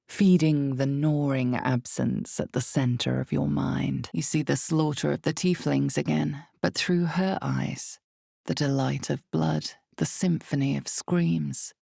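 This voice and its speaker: Super low, crackly female narrator for a dark fantasy game, ominous and knowing, dramatic, deliberate. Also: no room sound, clean studio sound, exaggerated voice. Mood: intense, savoring. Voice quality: low, gravelly. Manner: slow, deliberate, dramatic delivery. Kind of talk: scripted second-person fantasy game narration.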